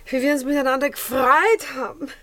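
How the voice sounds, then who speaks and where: A woman's voice: high-pitched, fairly even intonation, fairly quick, a bit bright. A woman acting a worldly, flighty, self-dramatising baroness with a Viennese accent in a radio play, speaking in an affected, theatrical way. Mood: chatty, self-pitying. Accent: Viennese Austrian. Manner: affected, theatrical, sprinkles in French phrases.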